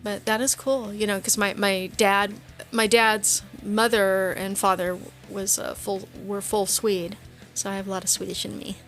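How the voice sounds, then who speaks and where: A woman co-hosts a podcast in a loose, casual chat. Her pitch is average for a woman, and she has a giggly way of talking, often laughing through her words. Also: flat delivery, moderate pace, fairly bright tone, soft background music. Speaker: podcast co-host.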